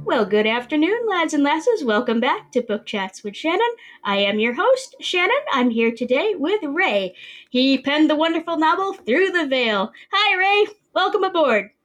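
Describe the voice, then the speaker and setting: A woman's voice: high-pitched, very animated, normal speed, a bit bright, faint music behind. A woman hosting a book podcast, chatting with a guest author in a cheerful, chatty way. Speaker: podcast host. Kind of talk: friendly interview chat.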